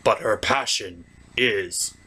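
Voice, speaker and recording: A performer voicing a theatrical gentleman puppet host, reviewing a cartoon from a script with dramatic pauses and mock outrage. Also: mid-range voice, husky, voice pushed down, heavily acted, low-quality recording.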